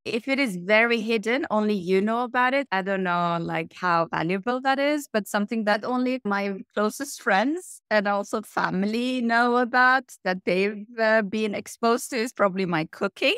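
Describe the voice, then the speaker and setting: A middle-aged woman from Iran who grew up in Norway, answering interview questions in a lively, reflective way and listing things in runs. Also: mid-range voice, moderate pace, hardly any pauses, clear, resonant tone, no room sound, clean studio sound. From Iran, raised in Norway. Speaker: interview guest, tech executive.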